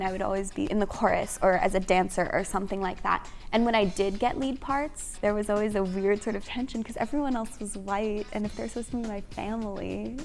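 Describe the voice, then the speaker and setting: Young woman actor, gravelly texture, matter-of-fact delivery. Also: mid-range voice. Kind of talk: documentary interview.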